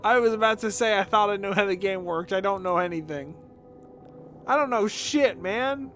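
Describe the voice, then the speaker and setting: A man's voice: high voice, moderate pace, fairly bright tone. A man streaming a video game, thinking aloud in casual, sweary, bewildered commentary. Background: music.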